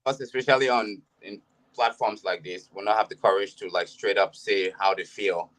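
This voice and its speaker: A man's voice: fairly low pitch, fairly even intonation, moderate pace, a bit bright, low-quality recording. A man who is a musician, giving his view on a panel in a hesitant way with many restarts. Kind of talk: panel discussion.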